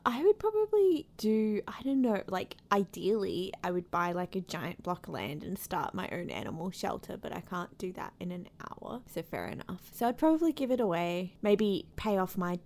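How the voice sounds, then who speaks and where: A woman's voice: lowish voice, sing-song, darkish tone. Aussie woman chatting, playful, voice jumps all over. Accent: Australian. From Australia. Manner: chatty, playful, quick to laugh. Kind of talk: casual chat.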